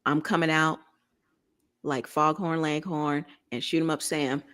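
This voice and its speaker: Texan woman with a lowish voice. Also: fairly even intonation, a little slow, a bit bright. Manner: chatty, joking asides while giving announcements. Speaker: radio show co-host. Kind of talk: casual talk show chat.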